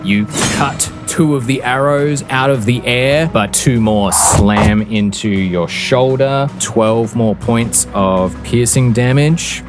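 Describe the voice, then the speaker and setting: A man narrating a tabletop game as game master, theatrical and deliberate, telling the action like a dramatic story. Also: mid-range voice. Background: background music.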